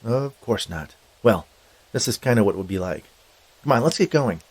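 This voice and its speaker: A man's voice: lowish voice, expressive, moderate pace, slightly rough. A man voicing a breezy, wisecracking skeleton spirit in a storybook reading, casual and brash. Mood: breezy, teasing.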